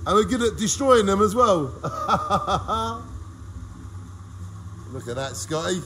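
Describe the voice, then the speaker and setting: A man's voice: sits high, animated, slow pace, a bit bright, a little hiss or hum. Chatty man vlogging at a car wash. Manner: chatty, showman-like, addresses viewers directly. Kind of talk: cheerful vlog commentary. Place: open car wash bay, outdoors. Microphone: phone held at arm's length.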